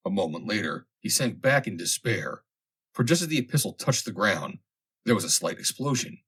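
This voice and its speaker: A man reads a comic vintage story aloud as scripted narration, in a measured, dramatic storytelling manner with deliberate pauses. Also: on the low side, fairly bright tone, slightly rough. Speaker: audiobook reader.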